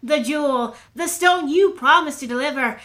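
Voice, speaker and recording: An actress playing a cool, guarded aide to a ruler in an audio drama, speaking in a clipped, formal, controlled way. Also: female voice, high voice, moderate pace, a bit bright. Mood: cool, stern. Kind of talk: scripted audio drama acting.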